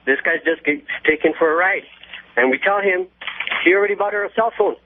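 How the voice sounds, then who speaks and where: A man's voice: high-pitched, brisk, slight background noise. Man calling in, tinny phone line. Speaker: radio show caller. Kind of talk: casual phone-in chat.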